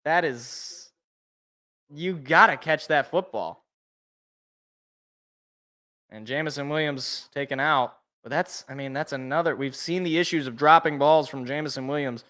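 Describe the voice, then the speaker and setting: Bright-voiced man calling football play-by-play. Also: on the high side, clean tone. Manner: excited calls, casual asides. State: allergies, a little rough and sniffly. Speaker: sports podcast host. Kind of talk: live play-by-play commentary.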